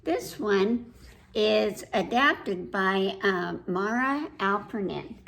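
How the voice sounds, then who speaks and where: A woman's voice: mid-range voice, animated, takes it slowly, gravelly, a bit bright, a little hiss or hum. A woman hosting a children's story time, reading aloud and chatting directly to the young listeners with questions.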